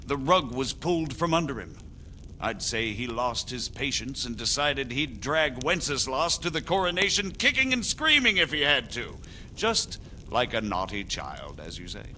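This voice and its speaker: A man playing a measured, diplomatic medieval margrave in a video game, speaking formally and deliberately. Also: medium pitch, sharp tone, slight background noise. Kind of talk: dramatic video game acting.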